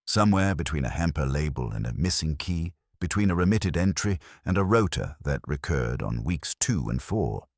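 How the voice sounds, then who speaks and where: Very deep, animated male storyteller. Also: normal speed, creaky, few pauses, dry recording, studio quality. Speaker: audiobook narrator. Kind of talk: scripted narration of a mystery novel.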